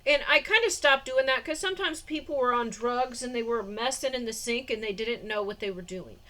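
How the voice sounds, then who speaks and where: A woman's voice: fairly high pitch, fairly quick, sharp tone. A woman in her forties talking in a casual diary vlog, rambling with many fillers and frequent laughs, recorded indoors on a phone or camera mic. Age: 40s. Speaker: vlogger.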